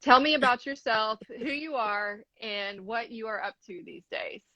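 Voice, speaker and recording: A woman hosting an interview over a video call, friendly and unhurried as she asks questions. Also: a little high, pushed and bright, dry recording. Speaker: interview host. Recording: dry, dull video-call audio.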